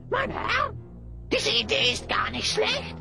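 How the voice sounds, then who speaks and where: An actor playing a sly, servile hyena spy in a fantasy audio drama, speaking in rhymes with a creaky, cackling voice. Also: extremely high pitch, exaggerated voice. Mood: sly, flattering.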